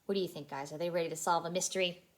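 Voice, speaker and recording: A woman reading a story aloud to young children as a childcare storyteller, expressive and animated. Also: on the low side, rapid-fire, slight background noise. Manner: animated, asks listeners questions.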